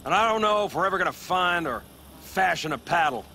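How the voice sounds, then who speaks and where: A man's voice: sits high, lively intonation, fairly quick, sharp tone, slight background noise, full character voice. An actor playing a gloomy, folksy nomad man in a video game.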